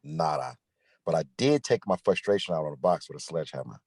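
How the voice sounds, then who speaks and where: A man acts out a cocky, street-smart sidekick in a script reading. His voice is very low and husky, recorded dry, and he talks in wisecracks. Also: expressive, fast, a little mellow, no room sound, exaggerated voice. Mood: teasing. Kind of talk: table read of a screenplay.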